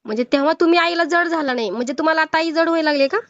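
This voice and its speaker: Marathi lady on a muffled phone recording, high voice, telling someone off, keeps pushing questions. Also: rapid-fire, dry recording. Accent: Marathi, Maharashtra. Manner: scolding, insistent, moralising, rhetorical questions. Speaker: old-age home worker taking a call. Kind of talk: phone counselling conversation, admonishing. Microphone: phone call.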